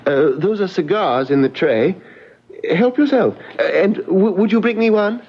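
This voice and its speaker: A man playing a nervous, reclusive English gentleman, halting, formal and fretful, in old-fashioned radio mystery acting. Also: sits high, lively intonation, husky, exaggerated voice. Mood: anxious courtesy. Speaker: radio drama actor. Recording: muffled vintage radio recording.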